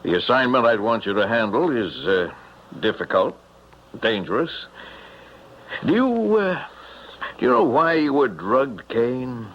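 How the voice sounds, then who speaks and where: An actor playing a shrewd, wryly amused police captain in a radio drama, speaking deliberately with measured hesitations. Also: male voice, medium pitch, big pitch swings. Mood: grave, confiding. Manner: deliberate, wry, hesitant pauses. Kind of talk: old-time radio drama acting. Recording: muffled, noisy radio drama recording.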